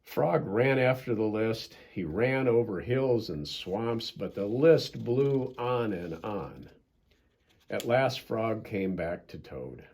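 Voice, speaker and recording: Older man, American accent, children's story narration, husky, unhurried, very expressive pitch. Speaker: pastor reading a children's story.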